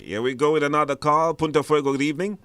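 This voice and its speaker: A man's voice: a little high, steady tone, fast, fairly bright tone, dry recording. A man hosting a radio call-in show in an informal, encouraging, conversational way. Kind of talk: casual live call-in hosting.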